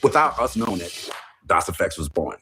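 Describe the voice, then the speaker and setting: A man from Jamaica telling his life story in a documentary interview, relaxed, with many "you know"s. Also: sits low, normal speed. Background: music bed.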